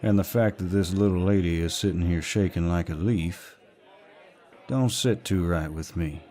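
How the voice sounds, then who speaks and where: A man playing a protective, dominant Southern gentleman in an audio roleplay, speaking politely but firmly with a Southern US drawl. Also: low voice, moderate pace, lightly acted. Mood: calm, firm. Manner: polite, drawling, firm, old-fashioned courtesy. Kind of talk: roleplay audio acting, intimate and scripted.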